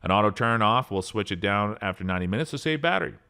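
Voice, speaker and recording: Lively-pitched man reading a gadget rundown. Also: fast talker, smooth. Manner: even, steady reading of spec lists, lifting on product names. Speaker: product review narrator. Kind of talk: scripted voice-over product rundown.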